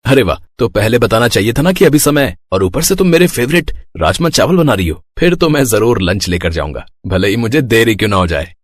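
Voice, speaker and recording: A man playing a caring, teasing young elder brother in a dramatized family story, talking in an expressive, familiar way. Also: medium pitch, brisk, hardly any pauses, natural voice. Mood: playful, teasing.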